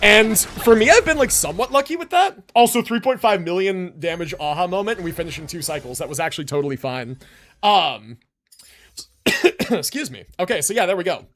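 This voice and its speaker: A man livestreaming a video game with casual commentary, thinking out loud in slangy, sweary talk. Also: high-pitched, expressive, brisk. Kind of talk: casual gaming stream commentary.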